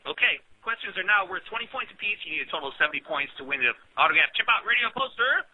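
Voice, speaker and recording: A man hosting a call-in radio show in a chatty, loose way, breaking into laughs between questions. His voice sits a touch high and swings around a lot, with a husky edge. The audio is narrow and phone-like. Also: expressive, fast, a crowd in the background. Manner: chatty, laughs often, filler-heavy.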